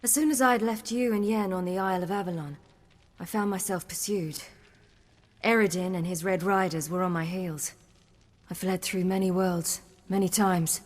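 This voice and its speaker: An actress playing a young hunted woman warrior in a video game, earnest, wry and defiant, telling things in a measured way. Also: lowish voice, fairly even intonation, big-room sound, lightly acted. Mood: reflective, wry. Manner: measured, earnest storytelling with dry wit. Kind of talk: video game dialogue acting.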